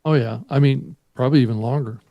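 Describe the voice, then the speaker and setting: A man's voice: mid-range voice, fast, no echo, muffled recording. A man co-hosting a podcast, offering casual conversational commentary. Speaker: podcast co-host.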